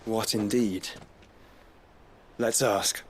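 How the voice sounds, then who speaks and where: A man's voice: fairly low pitch, steady tone, extremely slow, a little hiss or hum. An actor playing a composed, dryly inquisitive nobleman adventurer in a video game, formal and articulate. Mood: wry. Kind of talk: dramatic video game acting. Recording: music under the voice.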